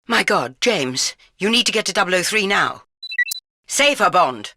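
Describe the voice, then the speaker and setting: A woman's voice: medium pitch, big pitch swings, unhurried, pushed and bright, dry recording, clean studio sound, heavily acted. An actress playing an urgent, authoritative female spy chief directing agents in a video game.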